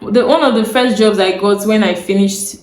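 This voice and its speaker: A woman's voice: medium pitch, normal speed, a little mellow. A young Nigerian woman, a native Yoruba speaker, giving earnest career advice to camera. She repeats her key points and checks in with her viewers. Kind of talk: instructional talk to camera. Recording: roomy echo, faint ambience.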